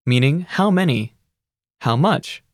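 A narrator giving measured, scripted instructions in a language course. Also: mid-range voice, no echo, studio quality. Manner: even, measured teacherly instructions.

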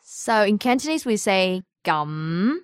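A woman teaching vocabulary in a scripted language lesson, repeating each word slowly and carefully. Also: medium pitch, sing-song, clear, resonant tone, no echo, clean studio sound. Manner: slow, careful repetition of words.

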